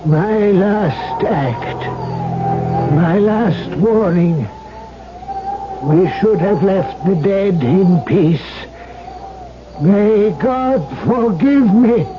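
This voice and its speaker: An actor playing a grave old alchemist sorcerer, solemn and portentous, in a 1970s radio drama. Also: male voice, high voice, heavy background noise. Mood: remorseful. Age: older. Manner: slow, portentous, incantatory. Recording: dull 1970s radio broadcast with loud music.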